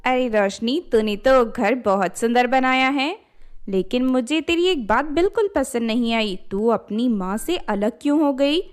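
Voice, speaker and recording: A woman's voice: fairly high pitch, unhurried, clear, resonant tone, soft background music, lightly acted. A woman voicing a candid, reproachful young female friend in a cartoon story. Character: frank young woman, disapproving friend of the heroine.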